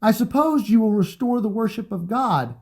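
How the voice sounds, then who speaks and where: A man's voice: high voice, normal speed, gravelly, darkish tone, husky, heavily acted. A man voicing a devout, principled companion who warns the king, speaking in an earnest, pleading way in an audio drama.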